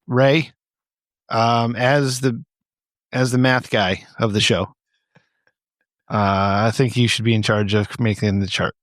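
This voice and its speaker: A man hosts a loose comedy podcast in an average-pitched voice, recorded close and dry. He teases freely, swears casually and laughs easily as he keeps the chat moving. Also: fairly even intonation, takes it slowly, long pauses, no echo. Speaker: podcast host. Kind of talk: casual comedic banter, profane. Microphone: close mic on a group remote call.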